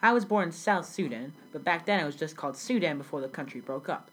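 A woman's voice: sits low, expressive, fast, a little hiss or hum. A teenage girl playing a grown immigrant recalling a childhood in South Sudan, telling her story earnestly in a scripted school interview. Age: young teen. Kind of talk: school project role-play interview.

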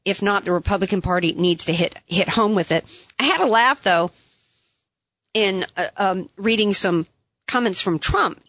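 A woman hosting a political talk radio show, delivering an opinionated, emphatic monologue with sarcastic asides while her voice is going hoarse. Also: medium pitch, moderate pace, a bit bright, dry recording. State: losing her voice, has a cough drop in.

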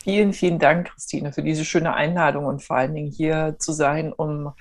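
A woman's voice: low voice, fairly even intonation, normal speed. A woman in her forties from Germany, a guest in an interview, telling her story in a rambling way with many fillers and restarts. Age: 40s. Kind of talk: casual interview talk.

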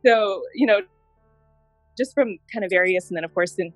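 A woman in her thirties, raised in Japan, speaking as an interview guest and reflectively recounting how she came to work in healthcare, with thoughtful pauses. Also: medium pitch, no room sound, faint music behind. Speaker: guest, healthcare innovation director. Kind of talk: interview conversation.

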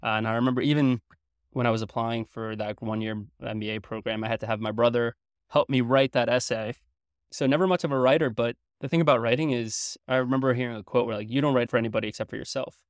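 A man's voice: medium pitch, fairly even intonation, fast, no room sound, studio quality. A young man from Belgium, a native French speaker, talking as a guest in a casual interview chat, earnest and explanatory, with a casual manner full of fillers and restarts.

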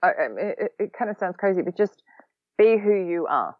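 A woman with an Australian accent, a podcast guest talking casually, quick-witted and a bit sarcastic. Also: medium pitch, no room sound, phone-quality sound. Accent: Australian, long lived in London. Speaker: podcast guest, social recruiting trainer.

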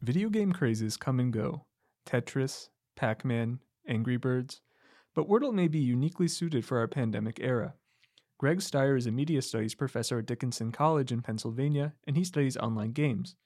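Male radio reporter, mid pitch, measured read, dry booth sound. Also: animated, a little mellow, no room sound. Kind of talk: scripted news report.

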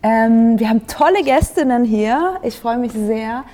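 A woman hosting a panel talk with a somewhat high, mellow voice. She sounds bubbly and upbeat, with lots of small laughs as she hands the floor to her guests. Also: a little slow. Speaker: host. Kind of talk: casual panel moderation.

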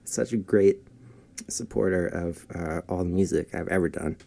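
A man's voice: sits low, normal speed, gravelly, mellow. A young man, a guest musician, chatting casually and laughing often at himself. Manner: laughs often, self-deprecating. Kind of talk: casual podcast chat.

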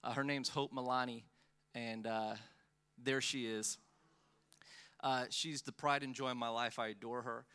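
Thirties guy preaching, bright voice, jokey and self-deprecating, lots of uh and restarts. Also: medium pitch, steady tone, unhurried, pauses often, smooth. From born in the Philippines, raised in Australia. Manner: self-deprecating, joking, many fillers and restarts. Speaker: pastor. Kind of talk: guest sermon, personal storytelling.

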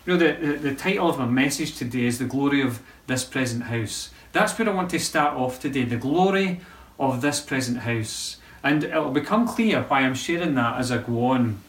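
A man preaching a sermon as pastor of a local church, conversational and halting, often restarting his sentences. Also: medium pitch, lively intonation. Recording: echoey room from a distance.

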